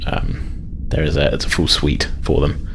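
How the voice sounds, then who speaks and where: A man's voice: extremely low pitch, completely flat delivery, normal speed, fairly bright tone, slight background noise. A man narrating a tabletop role-playing game as game master, in a hesitant, improvised, conversational way.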